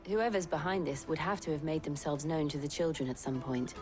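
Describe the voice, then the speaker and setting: Woman acting a low-voiced, composed fantasy heroine. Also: a little fast, over music, close to an everyday voice. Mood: determined. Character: composed, determined woman adventurer, gently kind. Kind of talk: dramatic video game acting.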